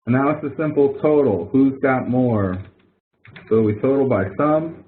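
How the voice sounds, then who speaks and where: A man's voice: medium pitch, unhurried, slight background noise. A man leading an online help session as instructor, explaining things step by step in an informal, folksy way. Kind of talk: casual screen-share walkthrough of a spreadsheet exercise. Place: online web conference. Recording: muffled narrow phone-like audio.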